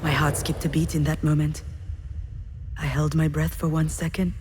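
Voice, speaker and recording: An actress playing a grieving, reflective screenwriter who confides her story in measured, intimate first-person narration for a video game. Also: low-pitched, level tone, background noise, close to an everyday voice. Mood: sad, tender. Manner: measured, introspective, pausing between phrases. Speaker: narrator of a visual novel.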